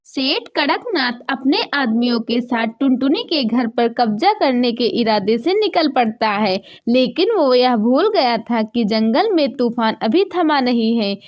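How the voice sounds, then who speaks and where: Female narrator, somewhat high pitch, scripted children's storytelling. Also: dull audio.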